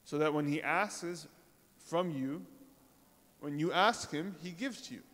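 A man giving an informal sermon to a congregation, talking in a conversational way with pauses, fillers and rhetorical questions. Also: on the high side, a bit bright. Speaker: religious speaker. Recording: reverberant hall.

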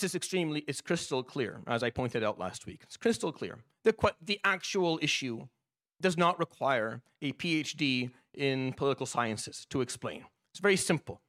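A man's voice: on the high side, a bit bright, no echo, clean studio sound. Impassioned man preaching a sermon. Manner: impassioned, repetitive. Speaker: imam. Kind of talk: Friday sermon.

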